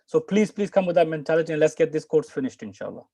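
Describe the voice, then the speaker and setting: A man's voice: fairly high pitch, expressive, fast talker, gravelly, mellow, no room sound. A middle-aged man teaching Arabic on a video call from a room at home, earnest and coaxing, often restarting his words. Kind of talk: live online class talk.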